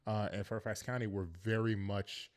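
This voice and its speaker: A young man from Northern Virginia talks as a podcast guest in a thoughtful, earnest way. He often circles back to make sure he is understood, in a fairly low voice. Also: flat delivery, moderate pace. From Northern Virginia, United States. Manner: reflective, earnest, leans on "you know what I mean". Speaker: podcast guest, professional football player. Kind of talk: casual interview chat.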